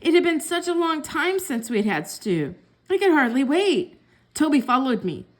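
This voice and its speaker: Female storyteller for a kids' read-aloud, higher-pitched, expressive delivery. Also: moderate pace. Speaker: story reader.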